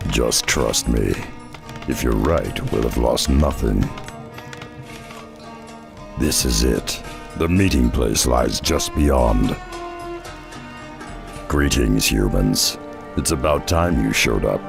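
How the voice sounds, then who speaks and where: An actor playing a huge, wary beast-man warrior in a fantasy game, with a growling, gravelly voice and a blunt, stern manner. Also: a bass voice, expressive, slow, pauses often, exaggerated voice. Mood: suspicious, firm. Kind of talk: fantasy game character acting. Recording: music under the voice.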